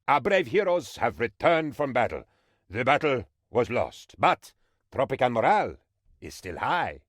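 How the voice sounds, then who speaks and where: Comic game role: a fawning, bumbling presidential aide. Very animated delivery, dry close sound. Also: male voice, medium pitch, no room sound. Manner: theatrical, bumbling, eager to please. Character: fawning, excitable male presidential advisor in a tropical banana republic. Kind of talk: theatrical comic video game voice acting.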